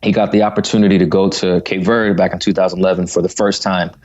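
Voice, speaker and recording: A man's voice: low voice, quick pace, dull audio. A man from Connecticut, a guest musician, talking casually in an interview with lots of "you know" fillers. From United States (Connecticut). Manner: relaxed, talkative, frequent fillers like "you know". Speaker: guest singer and saxophonist.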